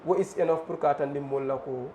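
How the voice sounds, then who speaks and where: A man's voice: a little high, fast, warm tone, slight background noise. A man hosting a TV interview, affirming his guest and mixing local phrases into his English. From The Gambia. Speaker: TV host. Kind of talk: live TV interview.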